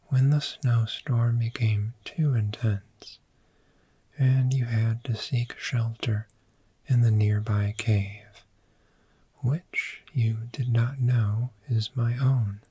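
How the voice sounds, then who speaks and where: Man as a very slow, whispering friendly dragon. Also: mid-range voice, steady tone, no echo. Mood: gentle, curious. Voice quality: soft, breathy whisper. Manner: slow, soothing, unhurried with long pauses. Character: friendly, gentle cave dragon fond of sleep. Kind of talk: soft-spoken ASMR roleplay.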